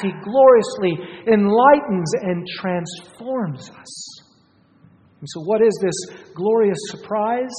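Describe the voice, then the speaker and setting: A preacher delivering a solemn sermon with emphatic, rhetorical phrasing. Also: mid-range voice. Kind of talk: sermon with scripture reading. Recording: long hall reverb.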